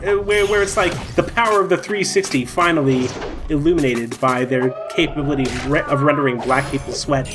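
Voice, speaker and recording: A man's voice: a little high, fairly quick. A man hosting casual gaming commentary with a wry, hesitant way of talking. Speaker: Let's Play host. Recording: music playing behind the voice. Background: video game music.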